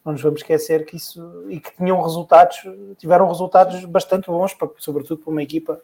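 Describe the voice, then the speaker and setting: A man's voice: on the high side, fairly even intonation, fast talker, warm tone, slightly rough. A man co-hosting a casual podcast, rambling and thinking aloud with many repeats. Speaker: podcast co-host. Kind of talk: casual podcast chat about motor racing.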